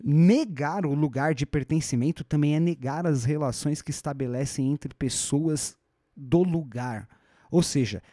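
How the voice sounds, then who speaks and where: A man's voice: on the high side, dark tone, no echo, clean studio sound. A man with a Brazilian Portuguese accent hosting a podcast, explaining history in a thoughtful conversational way, often restarting his phrases and adding rhetorical asides. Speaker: podcast host.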